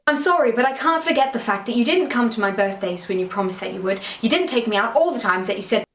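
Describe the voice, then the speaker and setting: A woman with a posh British accent speaks quickly and reproachfully in a mid-pitched voice. The room around her is echoey. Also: expressive, a bit bright. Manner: reproachful, rapid. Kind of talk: emotional scripted monologue.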